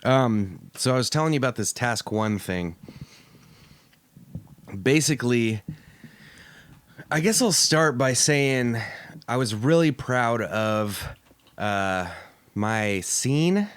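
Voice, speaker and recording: A man's voice: medium pitch, lively intonation, slow, long pauses, smooth, no echo. A man from Oregon hosting a casual podcast, talking in a crude, profane and joking way. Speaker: podcast host.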